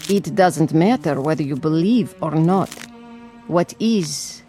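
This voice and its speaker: A commanding fortune teller woman speaks slowly and portentously, as in a mysterious radio play. Every phrase is cryptic and ominous, and music plays under her voice. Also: low-pitched, expressive, mellow. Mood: ominous, fatalistic. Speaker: actress in audio drama. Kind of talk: dramatic audio drama acting.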